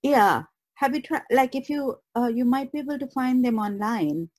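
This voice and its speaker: A woman's voice: fairly high pitch, animated, normal speed, darkish tone, dry recording, clean studio sound. A woman guest artist chatting casually on a podcast. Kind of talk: casual chat.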